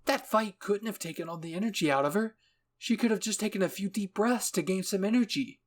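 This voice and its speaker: A man voicing an excitable, stubborn scientist woman who thinks aloud eagerly, in an anime fan-fiction reading. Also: high voice, brisk, voice pushed up. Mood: excited musing. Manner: breathless enthusiasm, thinking aloud.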